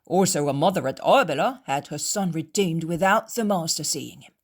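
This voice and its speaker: A woman's voice: low voice, lively intonation, normal speed. A woman voicing a man from Arbela who vouches for a healing. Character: man from Arbela telling others of a cure.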